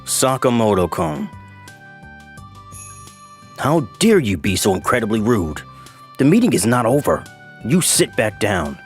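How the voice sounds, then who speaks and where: A man's voice: fairly low pitch, very animated, measured pace, long pauses, soft background music. A man playing a stern, formal, authoritative company boss in a manga dub. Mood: stern, angry.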